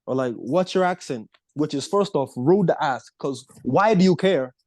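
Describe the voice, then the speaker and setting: A man's voice: fairly high pitch, lively intonation, normal speed, a little mellow, no echo, clean studio sound. A young American man chatting casually as a podcast co-host, rambling with lots of fillers. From United States. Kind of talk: casual chat.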